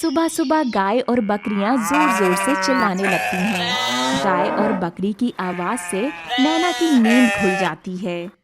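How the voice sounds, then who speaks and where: A woman's voice: mid-range voice, animated, takes it slowly, very noisy. A woman narrating a scripted cartoon story as storyteller.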